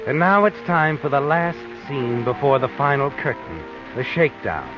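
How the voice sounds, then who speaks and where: A man's voice: medium pitch, expressive, over music, lightly acted. Man as a sinister, knowing, swooping mystery narrator. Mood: sly, ominous. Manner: insinuating second-person taunting, dramatic pauses. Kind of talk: 1940s radio mystery narration. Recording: muffled vintage radio recording.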